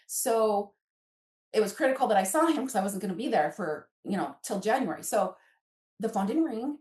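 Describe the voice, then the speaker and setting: A woman's voice: medium pitch, darkish tone. A chatty woman in her fifties tells personal stories as a podcast guest, acting out remembered bits of dialogue. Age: 50s. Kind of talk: animated personal storytelling. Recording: echoey room.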